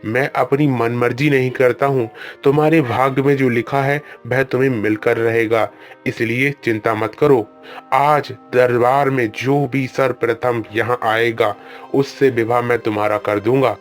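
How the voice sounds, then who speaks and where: A man's voice: mid-range voice, steady tone, unhurried, soft background music, natural voice. A man voicing a worried old king who pleads with his guru and scolds his daughter in a cartoon story. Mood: stern. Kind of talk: Hindi cartoon storybook voice acting.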